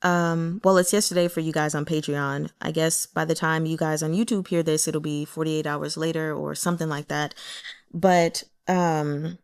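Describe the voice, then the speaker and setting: Candid woman with a lowish voice. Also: fairly even intonation, moderate pace, smooth. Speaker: book reader and reviewer with an audience. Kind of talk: casual chat commentary on a novel.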